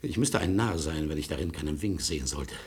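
An actor playing a haunted, courteous middle-aged lawyer with asthma, telling his story formally and deliberately in 1950s German radio drama style. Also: rumbling low, animated. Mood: confiding, grave.

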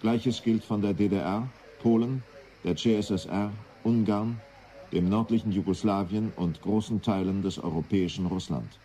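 Actor as dark, low-voiced official spokesman. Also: normal speed, slight background noise, lightly acted. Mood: grave, official. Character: grave male official military spokesman. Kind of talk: 1980s radio drama, formal bulletin.

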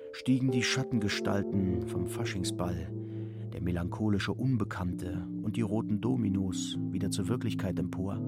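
A man narrating a literary radio play in a measured storytelling manner. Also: fairly low pitch. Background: loud music with guitar.

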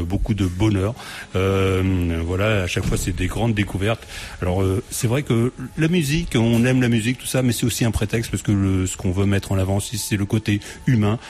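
A man's voice: fairly low pitch, darkish tone. A man hosting a live music show in a radio studio, chatty, laughing often and using many fillers.

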